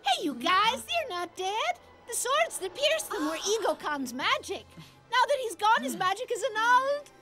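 An extremely high, squeaky little cartoon creature. It is excitable and swoops widely while drawing words out, with clear music behind. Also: normal speed, full character voice. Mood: amazed, relieved. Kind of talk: animated cartoon voice acting.

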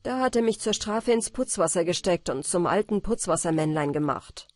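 A woman's voice: on the low side, lively intonation, normal speed, a little mellow, no room sound, close to an everyday voice. A woman voicing a humble little old enchanted water man in a fairy tale, earnest and plain. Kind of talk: storybook reading.

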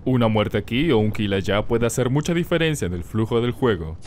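A man's voice: mid-range voice, expressive, brisk, natural voice. A man with a Peruvian accent playing an earnest young reporter, explaining things in a scripted cartoon report. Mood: informative. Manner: explanatory, presenting. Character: earnest young male office-type reporter in glasses. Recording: loud music behind the voice.

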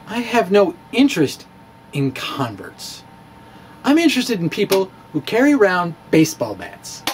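A middle-aged man giving a dramatic reading of a science blog to camera, theatrical and emphatic, with halting phrasing and stretched words. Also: on the high side, a little hiss or hum. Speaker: amateur physicist and video blogger. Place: small indoor room with bookshelves. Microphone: handheld-style microphone on a stand, close in front.

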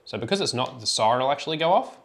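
A man with a mid-pitched voice presents a hands-on tutorial. He explains each step informally, in a plain and instructional manner. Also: animated, very fast, vocal fry, a bit bright. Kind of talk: informal step-by-step product demonstration.

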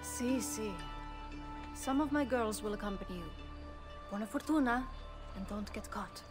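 An actress playing a poised, teasing Italian madam in a video game, with an Italian accent. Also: female voice, a little high, moderate pace, natural voice. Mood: coy, businesslike. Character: poised, teasing Italian woman running a house of courtesans. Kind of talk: video game cutscene acting. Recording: music behind the dialogue.

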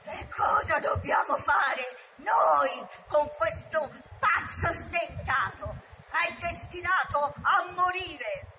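A woman voicing a menacing, scornful male tiger in a read-aloud story, slow and threatening. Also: sits high, lively intonation, sharp tone, exaggerated voice. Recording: noisy background.

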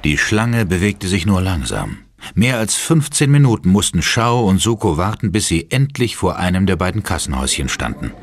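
A man's voice: sits low, very animated, normal speed, slight background noise. A man reading scripted third-person narration as the storyteller of a German audio drama. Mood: measured.

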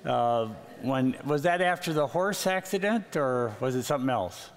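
A man's voice: mid-range voice, animated, normal speed. A middle-aged man pastoring a church, introducing guests in a hesitant, informal way. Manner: hesitant, informal, filler-heavy. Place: church sanctuary. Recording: long hall reverb.

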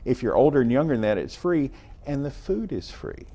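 Older man, halting with fillers. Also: mid-range voice, sing-song, mellow. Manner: enthusiastic, halting with many fillers and repeats. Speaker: park ranger guest. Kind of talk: TV morning show interview. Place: TV studio set.

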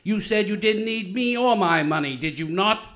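A man's voice: sits high, animated, normal speed, a bit bright. A man playing a sneering, cackling old banker. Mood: gloating. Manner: mocking, cackling, contemptuous. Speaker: community theatre actor. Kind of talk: amateur radio play acting.

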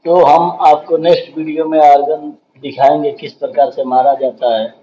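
A man's voice: fairly high pitch, level tone, moderate pace, warm tone, muffled recording, slight background noise. A man hosting a welding channel, explaining a pipe weld step by step and often calling viewers "friends". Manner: repeats "dekhiye" and "doston" often, explanatory. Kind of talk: explaining welding over filmed work.

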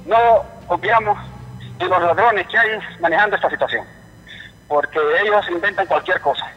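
Over a dull, reverberant phone line comes a high-voiced man with a Honduran accent. He is calling in to a news programme and sounds indignant. His speech is halting, with phrases often repeated. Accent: Honduran Spanish. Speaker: phone-in caller, relative of a patient. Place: telephone call to a TV studio.

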